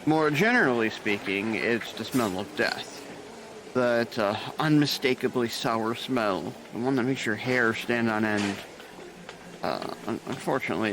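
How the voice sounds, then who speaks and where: A man's voice: mid-range voice, measured pace, background noise, lightly acted. An actor playing a dry, sarcastic, burned-out male nurse showing a new hire around, in an audio drama. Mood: sardonic.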